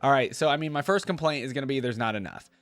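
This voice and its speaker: A man with a medium-pitched voice gives casual reaction commentary, thinking aloud as he goes. He sounds close and dry on the mic, with faint music behind him. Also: fast talker, fairly bright tone, no echo. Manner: informal, conversational, thinking aloud. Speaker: reaction video host.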